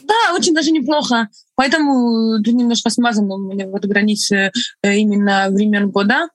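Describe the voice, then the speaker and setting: A woman whose first language is Estonian, speaking with a mixed foreign accent as an interview guest, laughing often and pausing to find her words. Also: medium pitch, steady tone, no echo. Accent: foreign, mixed from several languages. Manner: laughs often, thoughtful with halting restarts. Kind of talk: relaxed conversational interview.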